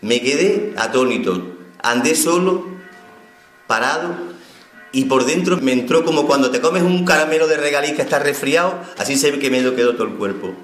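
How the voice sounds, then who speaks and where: A man's voice: mid-range voice, moderate pace, a bit bright, roomy sound, faint music behind. A man from Spain telling his personal testimony as an inmate, heartfelt and emotional.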